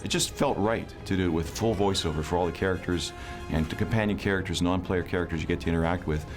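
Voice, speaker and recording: A man's voice: low voice, fast talker, over music. A man talking as a game developer in a documentary interview.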